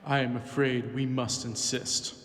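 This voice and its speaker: An actor playing a stiff, formal, unyielding German official in a dubbed film, with a clipped manner. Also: male voice, mid-range voice, flat delivery, unhurried, fairly bright tone, big-room sound, lightly acted. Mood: firm, refusing.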